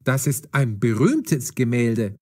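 An actor playing a police detective in an audio drama, commanding and mocking but fair. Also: male voice, medium pitch, very animated, slow pace, a little mellow. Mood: stern, probing. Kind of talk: audio drama for language learners.

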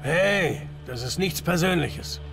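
A man playing a cynical, menacing enforcer in a video game, talking in a gruff, curt, sardonic way. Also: fairly high pitch, animated, slow pace, exaggerated voice. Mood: cynical, threatening. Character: cynical, menacing male debt-collecting enforcer. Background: music.

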